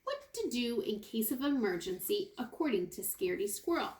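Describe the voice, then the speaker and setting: A woman teacher reading a picture book aloud to young children, expressively, pausing for asides and questions to her listeners. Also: on the high side, very animated, a little mellow. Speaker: kindergarten teacher. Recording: roomy, echoey indoor sound.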